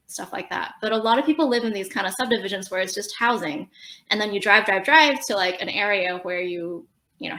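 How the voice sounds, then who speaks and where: A young woman talking as a podcast guest in a relaxed, explanatory way, with lots of fillers and quick laughs. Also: mid-range voice. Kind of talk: casual conversational interview. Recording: roomy echo.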